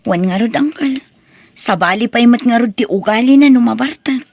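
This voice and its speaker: Casting: actress for worn, plaintive village wife, Ilocano accent, radio drama, dry recording. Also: female voice, a little high, moderate pace, a little mellow, no room sound. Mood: worried, plaintive. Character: long-suffering wife of a drinking man. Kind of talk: amateur Ilocano radio-style drama acting.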